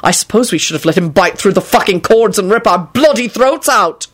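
A woman's voice: fairly low pitch, expressive, normal speed. A woman voicing an irritable, sarcastic, foul-mouthed man in a story reading, indignant and sardonic. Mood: indignant shouting.

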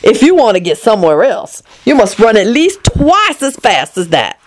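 A woman voicing a brisk, bossy but good-natured queen in a storybook, with an imperious, matter-of-fact manner. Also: fairly high pitch, very animated. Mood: scornful. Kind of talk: storybook character voice.

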